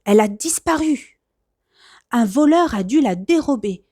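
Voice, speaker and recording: Woman as an excitable, high-pitched adventurer. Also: unhurried, no room sound, clean studio sound. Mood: determined. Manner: exclamatory, eager. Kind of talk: children's storybook character voice.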